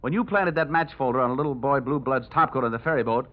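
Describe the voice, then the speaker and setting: An actor playing a cocky, wisecracking American insurance investigator in a 1950s radio detective drama, wry and slangy. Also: male voice, medium pitch, fast talker, lightly acted. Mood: tough, mocking. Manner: wry, hard-boiled, slangy. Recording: dull vintage radio recording with music.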